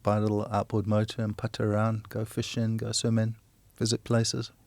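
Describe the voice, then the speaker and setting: A man's voice: fairly low pitch, completely flat delivery, fairly quick, a little mellow, no room sound. A man in his sixties from Kenya and Zimbabwe, a teacher and handyman, talking in a casual family interview with self-deprecating, chuckling humour.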